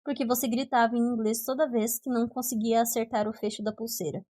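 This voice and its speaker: A Brazilian woman reading the part of a gentle, teasing young male student in an audiobook, with friendly, playful banter. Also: fairly high pitch, fairly even intonation, quick pace, lightly acted.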